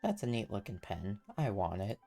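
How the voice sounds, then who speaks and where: A woman's voice: very deep voice, very animated, normal speed, gravelly, mellow. A woman game streamer making casual, wry, chatty asides over gameplay. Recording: game music behind.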